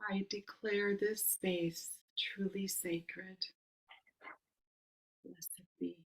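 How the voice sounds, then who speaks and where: A woman casting a ritual circle in a solemn, measured invocation, some words whispered. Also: mid-range voice, little pitch movement, low-quality recording. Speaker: circle caster.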